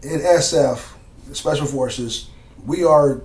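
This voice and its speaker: A man telling stories in a casual interview, relaxed and slangy, with plenty of fillers and asides. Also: on the high side, slow, distant mic. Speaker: former Green Beret guest. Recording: roomy sound from off mic.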